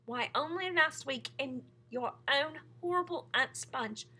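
A woman's voice: sits high, a little slow, bright, forceful tone, voice pushed up. A woman voicing a decent, wronged lady spider for a children's storybook in a plaintive, dignified manner. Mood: mournful, aggrieved. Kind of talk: children's storybook character reading.

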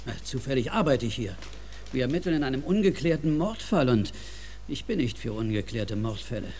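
A man's voice: mid-range voice, animated, fairly quick, darkish tone, close to an everyday voice. A man playing a middle-aged, stern, skeptical police officer and father in a German audio drama, blunt and authoritative. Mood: stern, dismissive. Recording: faintly noisy cassette audio drama.

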